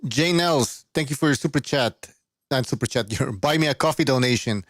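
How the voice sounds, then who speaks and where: A man's voice: medium pitch, a little fast, a bit bright, no room sound, studio quality. A man hosting a call-in boxing podcast, blunt and profane, urging listeners to call in. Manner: blunt, profane, reads donations aloud. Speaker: podcast host. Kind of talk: casual show hosting.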